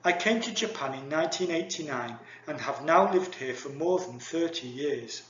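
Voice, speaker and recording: Male lecturer, slightly raised pitch, formal scripted delivery, roomy muffled sound. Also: expressive, normal speed, a bit bright, a little hiss or hum. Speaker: university lecturer. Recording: echoey dull lo-fi recording.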